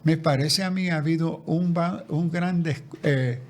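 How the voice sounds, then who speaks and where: A man's voice: fairly high pitch, measured pace. A man speaking as a guest theologian in a conversational interview, reflective, often restarting and repeating words. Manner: reflective, with frequent restarts and repetitions.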